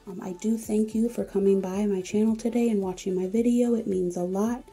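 A woman's voice: medium pitch, steady tone, brisk, dark tone, slightly rough. A woman hosting a home cooking channel, giving chatty, encouraging step-by-step recipe voice-over.

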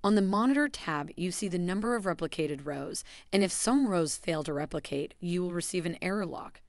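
A woman giving a scripted, even voice-over that walks through software steps. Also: lowish voice, a little fast, dry recording, clean studio sound. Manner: even, instructional delivery. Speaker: software tutorial narrator.

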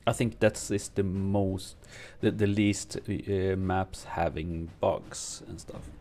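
A man who is not a native English speaker, reading game patch notes aloud and commenting on them from his home streaming room, halting with many fillers and restarts. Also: sits low, steady tone, dark tone. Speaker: gaming streamer.

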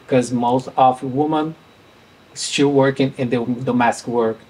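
A man's voice: medium pitch, steady tone, a little mellow, low-quality recording. A young man from Brazil with a Brazilian Portuguese accent, presenting on a conference panel in a hesitant, word-searching way, in English that is not his first language. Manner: halting, searching for words. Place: conference room with panel table.

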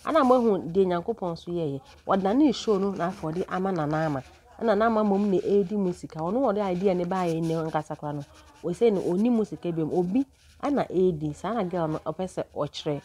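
A woman's voice: on the low side, animated, fairly quick, a little mellow, breathy edge. A woman with a Ghanaian accent, narrating a chatty celebrity gossip voice-over as channel host and often asking her listeners questions. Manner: chatty, asks viewers "what I say?".

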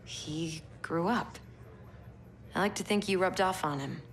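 An actress playing a capable, frank young military commander, businesslike and natural, in a video game. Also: female voice, lowish voice, unhurried, slight background noise. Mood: frank, warm.

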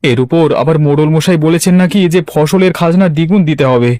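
A man's voice: fairly high pitch, fairly quick, a little mellow, dry recording, studio quality, lightly acted. A man voicing an anxious, lamenting poor village farmer in a cartoon, plaintive and exclamatory. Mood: worried lament. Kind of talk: Bengali cartoon voice acting.

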